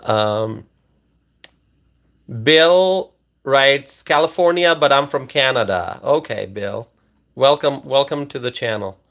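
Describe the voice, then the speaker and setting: Slow, halting man hosting a livestream. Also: medium pitch, a bit bright, lo-fi sound. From Bangladesh. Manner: slow, halting, many ums and uhs. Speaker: livestream host. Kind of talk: casual livestream chat reading comments.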